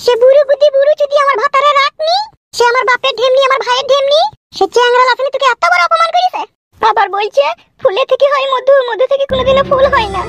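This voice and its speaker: A woman's voice: extremely high pitch, fast talker, fairly bright tone, a little hiss or hum. A woman voicing a furious, protective village mother in a cartoon comedy, scolding and cursing shrilly. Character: furious foul-mouthed village mother, fiercely protective of daughter.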